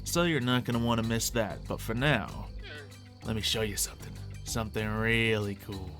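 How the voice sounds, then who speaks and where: A man's voice: on the low side, moderate pace, fairly bright tone. A man hosting a gameplay video with playful, chatty, theatrical commentary that often interrupts itself. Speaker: gaming video host. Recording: music behind the voice. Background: music.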